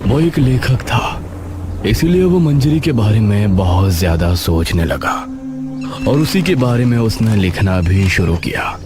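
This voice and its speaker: A man's voice: on the low side, animated, a little slow. A man narrating a scripted horror story, with music playing behind him.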